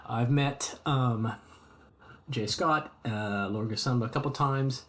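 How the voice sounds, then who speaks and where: A man's voice: mid-range voice, slow pace. Host, American man, chatty and meandering, talking to chat from his hobby room. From United States. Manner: rambling and friendly, many ums and restarts. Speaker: streamer host. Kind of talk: casual chat with live chat viewers.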